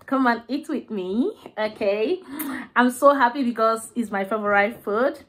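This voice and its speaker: A young Nigerian woman whose first language is Igbo, filming a mukbang eating vlog and humming slowly with pleasure between bites. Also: a little high, noisy background. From southeastern Nigeria. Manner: slow, savoring, with drawn-out hums between bites. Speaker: food vlogger.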